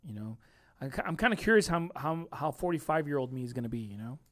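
A man's voice: fairly high pitch, lively intonation, quick pace, a bit bright, dry recording, studio quality. A man in his thirties hosting a podcast, chatting in a laid-back, rambling way and laughing often. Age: 30s. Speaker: podcast host. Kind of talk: casual chat.

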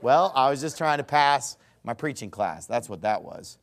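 A man's voice: medium pitch, lively intonation, normal speed. An American man preaching a sermon in a conversational, argumentative way, stressing points by repetition and addressing listeners directly in a folksy manner. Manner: emphatic, repeats phrases for stress.

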